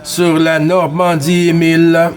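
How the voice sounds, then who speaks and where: High-voiced Quebec man on CB radio. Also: flat delivery, slow, faint music behind. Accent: Quebec French. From Quebec, Canada. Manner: courteous, repeats words and callsigns. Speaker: amateur CB radio operator running a contact round. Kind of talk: CB radio contact chat, callsigns spelled out.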